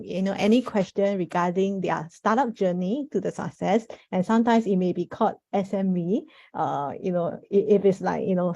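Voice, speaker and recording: Female panel moderator, mellow timbre, informal event-opening delivery with frequent verbal padding, dry sound. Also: medium pitch, no echo. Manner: informal, repeatedly says "you know". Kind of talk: spoken event introduction to an audience.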